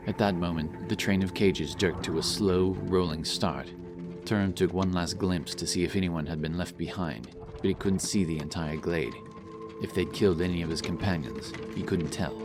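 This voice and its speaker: A man reading a scripted fantasy story as narrator, in a dramatic, solemn and measured style. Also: sits low. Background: music.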